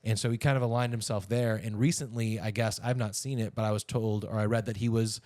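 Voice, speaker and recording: A man's voice: lowish voice, steady tone, fast, a little mellow, no echo, studio quality. A man co-hosting a podcast, chatting informally through news stories in a casual, conversational way. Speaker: podcast co-host.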